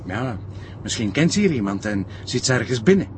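An actor playing a matter-of-fact Flemish police detective in a crime drama. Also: male voice, medium pitch, sing-song, brisk, natural voice. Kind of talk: naturalistic TV crime drama acting. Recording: loud car and traffic noise.

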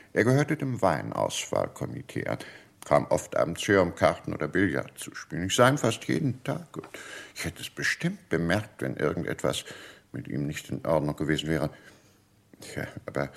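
A male actor playing a genteel, chatty club gentleman in a German radio crime play, gravelly, courteous and measured in a wordy way. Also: rumbling low, heavily acted.

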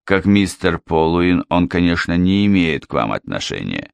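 A man voicing a composed, polite male lawyer who speaks in a measured, firm way. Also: deep voice, sing-song, vocal fry, smooth, no room sound, full character voice. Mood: calm. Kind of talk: audiobook character voice.

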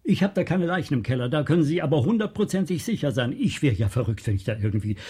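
A servile, talkative male shopkeeper in a radio crime comedy. His voice is fairly high, very husky and mellow, and he over-explains everything. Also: big pitch swings, quick pace. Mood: defensive, flustered. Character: obliging, anxiously talkative male costume-rental shopkeeper.

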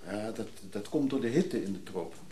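An actor playing a weary, bereaved middle-aged traveling businessman in a radio drama, speaking in a husky, hesitant, reflective way. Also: fairly low pitch, lively intonation, brisk, mellow, a little hiss or hum, lightly acted. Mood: polite, hesitant. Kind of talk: naturalistic Dutch radio drama acting.

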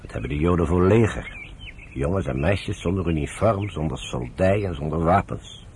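An actor playing a sober, devout British general in a Dutch radio drama, speaking in a measured, earnest and emphatic way. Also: male voice, deep voice, animated, darkish tone, a little hiss or hum. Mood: grave, emphatic.

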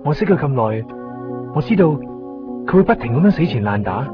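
A man's voice: mid-range voice, big pitch swings, a little fast, close to an everyday voice. Plain-talking Hong Kong man played by an actor, muffled radio. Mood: reflective. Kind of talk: Cantonese radio drama acting and storytelling monologue. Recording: dull lo-fi 1990s radio recording. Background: music.

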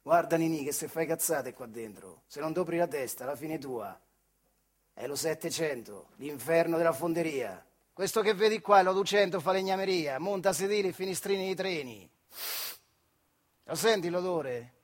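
A man acts out a bossy male factory foreman on stage, full of boasts and orders. He speaks emphatically and coarsely in a high male voice with a central Italian Marche accent. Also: normal speed, slightly rough. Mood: gruff, instructing. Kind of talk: theatrical monologue in dialect.

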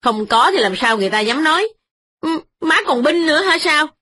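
A woman voicing a spiteful young wife who nags and mocks in a sharp, sarcastic tone. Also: high-pitched, animated, fairly quick, voice pushed up. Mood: indignant.

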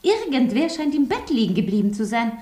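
A woman's voice: on the high side, big pitch swings, fairly quick, mellow. An actress playing a commanding, grandiose and sentimental French landlady in a German radio drama with roomy sound. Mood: fussy, fond. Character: bossy, theatrical French landlady, sentimental about her lodgers.

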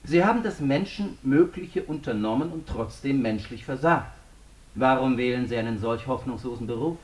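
An actor playing a stern, insistent courtroom examiner in a German radio drama, formal and probing. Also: male voice, mid-range voice, animated, moderate pace, echoey room, natural voice, poor audio. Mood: stern, accusing.

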